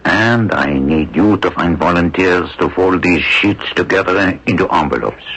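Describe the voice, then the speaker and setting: An actor in a radio drama playing an anxious French priest of the underground, with a fretful, urgent delivery. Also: male voice, deep voice, moderate pace, fairly bright tone, husky, exaggerated voice. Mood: businesslike. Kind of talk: old radio drama acting. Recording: noisy old radio broadcast recording.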